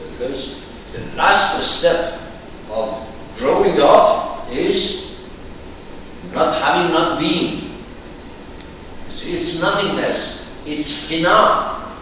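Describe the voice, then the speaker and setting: A man leads a class in a large room, pondering each phrase slowly with long pauses. His voice swings widely in pitch as he muses. The mic sits far off, so the sound is roomy and echoing, with a faint hiss behind it. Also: pushed and bright. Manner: slow, musing, with frequent pauses. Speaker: teacher. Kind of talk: reflective philosophical lecture. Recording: echoey hall, mic far off.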